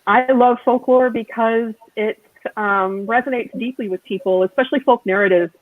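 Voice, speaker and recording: A woman speaking as a scholarly author on a panel, with earnest, academic and deliberate phrasing. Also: on the high side, fairly even intonation, no room sound, narrow, tinny audio. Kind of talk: thoughtful panel discussion.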